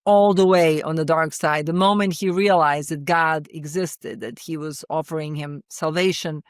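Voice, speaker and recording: A woman's voice: deep voice, fairly even intonation, moderate pace, smooth, no room sound, clean studio sound. A woman from Serbia with a Serbian accent, a filmmaker being interviewed. She often hesitates, restarts and repeats words. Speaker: filmmaker guest.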